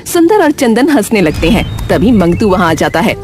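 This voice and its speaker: A woman's voice: mid-range voice, very animated, normal speed, over music. A woman narrating a scripted dramatic story as its storyteller.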